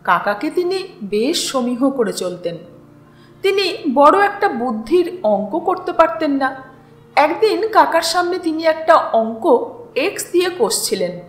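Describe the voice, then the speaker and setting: High, lively woman storyteller, slow-paced. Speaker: storyteller reading literary fiction aloud. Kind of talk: expressive audiobook-style story narration. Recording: roomy echo with music behind. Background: music.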